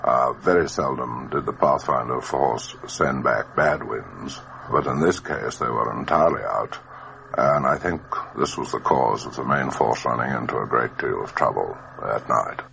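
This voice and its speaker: Very deep, gravelly male veteran. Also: normal speed, sharp tone. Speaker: former bomber airman. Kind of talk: recollection in a radio documentary. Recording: faint noise on old radio recording.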